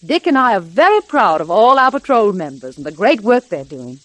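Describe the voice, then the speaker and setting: A woman's voice: mid-range voice, sing-song, normal speed, a bit bright, dry recording. An actress playing a cheery, proud young lady club president, polite and enthusiastic, in 1930s radio style. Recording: vintage 1930s radio recording.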